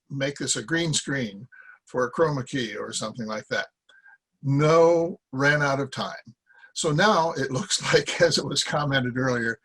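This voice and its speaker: Guest speaker: American man, 70s, husky, halting and rambling, informal delivery. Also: mid-range voice, a bit bright. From Iowa, United States. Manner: rambling, halting, with self-corrections. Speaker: guest speaker, former journalist. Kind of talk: informal talk from notes. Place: small cluttered room with boxes, indoors. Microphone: computer microphone on a video call.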